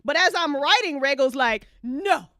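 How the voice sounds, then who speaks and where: A woman chatting casually as a writer about her audio drama, with animated, slangy, swear-laden storytelling. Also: high voice, moderate pace, no room sound, studio quality. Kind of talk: casual after-show chat.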